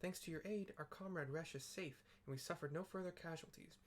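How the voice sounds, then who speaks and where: A man's voice: fairly high pitch, a little fast, pitched above the performer's own voice, natural voice. A man voicing a courteous, formal comrade from a fantasy game, speaking earnestly. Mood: earnest gratitude. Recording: clear background noise.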